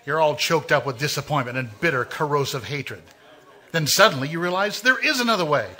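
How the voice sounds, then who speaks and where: A man playing a sinister, all-knowing narrator in a 1940s-style radio mystery, taunting the listener in a measured, ominous way. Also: on the high side, expressive, sharp tone.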